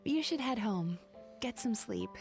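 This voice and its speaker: A woman's voice: on the high side, expressive, a little slow, vocal fry, a little mellow. Composed, perceptive young female therapist for a video game: soothing, polite, faint music underneath. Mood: gentle, reassuring. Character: gentle, perceptive young woman psychologist, caring and composed. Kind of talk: anime-style video game voice acting.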